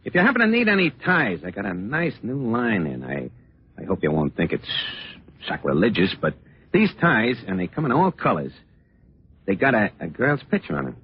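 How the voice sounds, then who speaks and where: A man's voice: fairly low pitch, sing-song, moderate pace, husky, exaggerated voice. An actor playing a chatty, slyly breezy necktie salesman telling his story in folksy 1940s radio style. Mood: breezy, glib. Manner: folksy, conversational, glib patter. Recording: muffled old radio recording, faint music.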